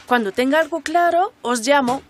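A woman's voice: high voice, moderate pace, slight background noise, natural voice. An actress playing a serious, helpful woman researcher who brings news in a naturalistic radio drama. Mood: matter-of-fact.

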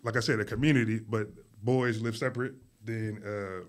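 A man raised in Philadelphia, whose first language was Arabic, talking casually as a podcast guest in a school studio, telling his story in a relaxed way with many fillers. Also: fairly low pitch, moderate pace. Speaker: guest, artist and art teacher.

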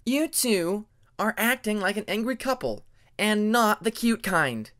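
Exasperated female sand dragon, high and scolding, acted by a male narrator. Fantasy audiobook. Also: expressive, unhurried. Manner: loud, scolding.

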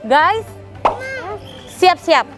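High-voiced playful woman vlogger. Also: big pitch swings, very slow, over music. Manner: playful, chatty, coaxing her children. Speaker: vlog host. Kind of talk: casual family vlog. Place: outdoor pet cafe garden.

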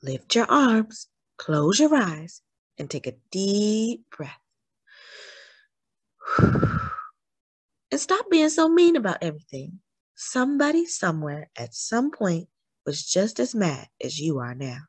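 A woman voicing a wise, encouraging storybook grandmother who instructs slowly and emphatically. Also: mid-range voice, very animated, breathy edge, dry recording, heavily acted. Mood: firm, encouraging.

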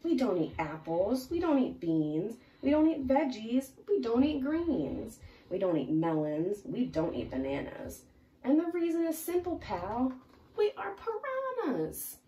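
A woman voicing an exasperated meat-loving piranha in a children's storybook, scolding with drawn-out emphatic punchlines. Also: a little high, huge pitch swings, warm tone, voice pushed up. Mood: indignant. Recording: roomy, distant mic, faint noise.

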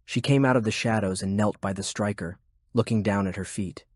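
Low-voiced man narrating an audiobook. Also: fairly quick, no echo, clean studio sound. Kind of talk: scripted fiction narration.

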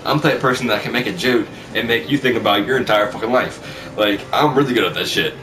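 A man's voice: fairly low pitch, quick pace. A young man chatting casually in a small bedroom, telling stories in a brash, sweary way and repeating himself. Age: teenager or young adult. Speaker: vlog host telling school stories. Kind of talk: casual storytelling chat. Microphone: camera mic at a distance. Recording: distant mic with loud music.

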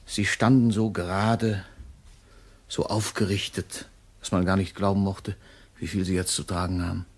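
An actor in a radio play playing a middle-aged, deferential and quietly knowing long-serving shop employee with a husky voice. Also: male voice, sits low, flat delivery, normal speed. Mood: knowing, insinuating.

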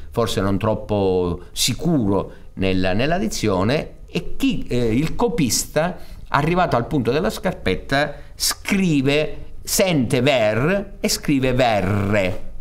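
A man, explaining a story like an informal lecturer, pausing to search for words. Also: medium pitch. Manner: halting, searching for words, explanatory with emphatic swings. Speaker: theatre director presenting a radio fairy-tale programme. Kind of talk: informal spoken introduction to a fairy tale, storytelling lecture. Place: indoors on a theatre stage.

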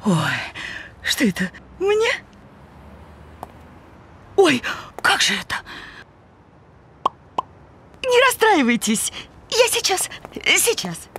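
Flustered high-pitched cartoon cow. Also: female voice, wildly swooping pitch, very slow, long pauses, sharp tone, slight background noise, full character voice. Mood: flustered, startled. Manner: flustered, exclaiming, polite. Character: timid, plump, good-natured female cow, easily flustered. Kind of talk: cartoon voice acting.

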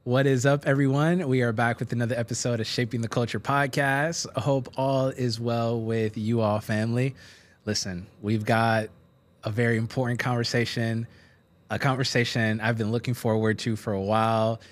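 A man in his thirties hosting a casual faith podcast from a small studio, upbeat and quick to laugh. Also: medium pitch, flat delivery. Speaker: podcast host. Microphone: boom-arm studio mic.

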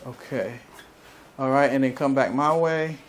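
Male chiropractor, mid pitch, casual and reassuring, short repeated instructions to a patient, faint noise behind. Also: unhurried. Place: small indoor chiropractic treatment room.

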